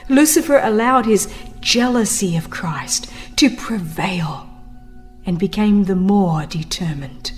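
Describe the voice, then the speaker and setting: A woman narrating a religious book as a dramatized reading, solemn and reverent, with measured, dramatic emphasis. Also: mid-range voice, expressive, soft background music. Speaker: audiobook narrator.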